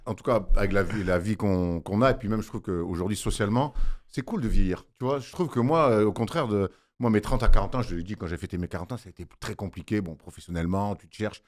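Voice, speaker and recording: A man in his forties, co-host of a casual panel chat, who talks in animated, joking bursts. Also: lowish voice. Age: 40s. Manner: animated, joking, quick asides.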